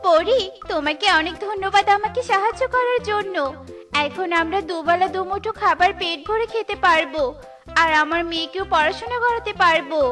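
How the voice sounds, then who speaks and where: A woman's voice: very high-pitched, normal speed, fairly bright tone, faint music behind. A woman voicing a caring, resolute mother bird in a children's cartoon, earnest and motherly. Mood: grateful, caring.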